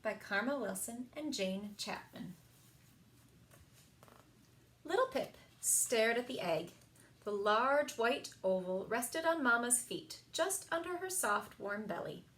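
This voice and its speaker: A woman reading picture books aloud for a children's story time, expressive and sing-song, with emphasized words. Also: fairly high pitch, slow. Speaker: library story time reader.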